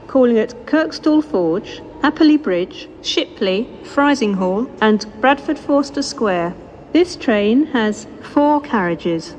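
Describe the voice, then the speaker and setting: A British woman reading scripted railway announcements over a station loudspeaker, formal and measured, in segmented phrases. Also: a little high, expressive, muffled recording. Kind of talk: scripted public-address train announcements. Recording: noisy station PA recorded on location.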